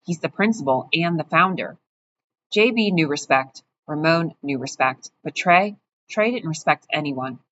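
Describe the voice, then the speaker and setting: Woman doing a cold judgy teen boy in an audiobook, low voice, kinda muffled. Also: lively intonation, unhurried, fairly bright tone. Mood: bitter. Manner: blunt, cold, matter-of-fact. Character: resentful, judgmental teenage schoolboy. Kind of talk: audiobook reading. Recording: dull, muffled audio with faint hiss.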